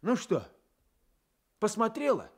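A man's voice: high-pitched, huge pitch swings, takes it slowly, vocal fry, slightly rough, full character voice. A man playing a surly young peasant head of household in a radio drama, mocking and needling in colloquial village speech. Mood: sarcastic, scolding. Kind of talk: Soviet radio drama acting.

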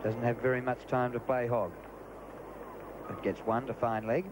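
A man calls cricket ball by ball, his voice lifting sharply when a wicket or big hit comes. The old broadcast tape carries a heavy layer of hiss under him. His pitch sits in an ordinary middle range. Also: steady tone, moderate pace. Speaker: cricket commentator. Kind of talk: ball-by-ball commentary.

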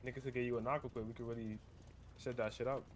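A man's voice: medium pitch, level tone, fast talker. A man chatting in heavy street slang during online role-play, often repeating himself. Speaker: gamer role-playing a gang member online. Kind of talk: casual in-game street-slang chat.

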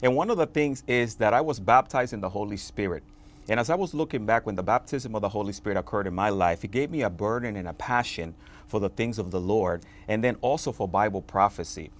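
A man from the Dominican Republic, a pastor, speaking earnestly as a guest in a television studio interview, often pausing on "uh". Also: lowish voice. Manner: earnest, fervent, with frequent filler "uh". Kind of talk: conversational testimony. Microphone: lapel mic.

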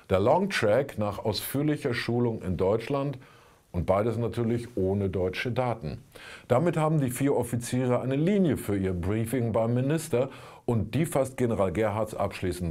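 A man's voice: mid-range voice, dark tone. Older man, sardonic, colloquial, scripted satirical commentary, studio presenter. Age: 60s. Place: indoor studio.

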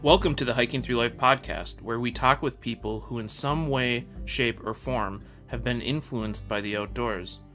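A man's voice: medium pitch, moderate pace, few pauses. A man who produces a podcast, reading its scripted introduction as announcer. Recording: guitar music behind the voice. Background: plucked guitar music.

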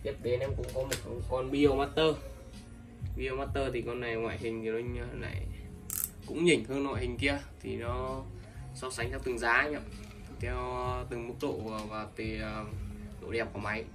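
Male seller, casual repetitive pitch, off-mic with background music. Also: steady tone, moderate pace. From Vietnam. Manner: repetitive, many fillers, addresses buyers as brothers. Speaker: fishing tackle seller. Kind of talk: casual sales livestream. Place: indoors, table of fishing reels. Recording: distant mic, music playing behind.

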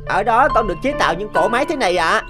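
A humble, high-voiced teenage boy inventor. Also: expressive, rapid-fire, over music. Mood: hopeful. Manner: polite, modest, sincere. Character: humble, earnest sixteen-year-old enslaved boy inventor. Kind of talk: cartoon dubbing.

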